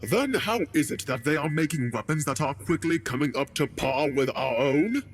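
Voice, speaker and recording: A man's voice: fairly high pitch, expressive, moderate pace, soft background music. A man playing an indignant, skeptical alien council official in a science fiction audio drama, accusing and sarcastic.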